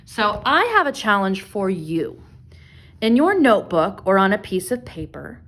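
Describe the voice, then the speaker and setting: A woman with a mid-pitched voice gives a read-aloud lesson for young children. She speaks to them directly as their teacher, reasoning out loud and steering them with simple questions. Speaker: elementary school reading teacher.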